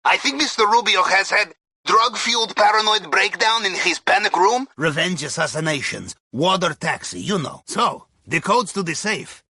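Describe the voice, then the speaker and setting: A man's voice: high-pitched, animated, normal speed, sharp tone, husky, dry recording, full character voice. A man playing a wry, cheerful Russian submariner and heist planner in a video game, giving briefings in clipped phrasing with a Russian accent. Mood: businesslike, urgent. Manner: wry, clipped phrasing with dropped articles and tag questions. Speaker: mission guide.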